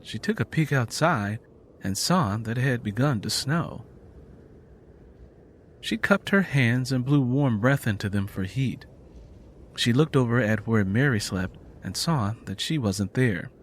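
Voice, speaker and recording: A man's voice: medium pitch, lively intonation, a little slow, slight background noise. A man narrating a dramatic story from a script as an audiobook reader.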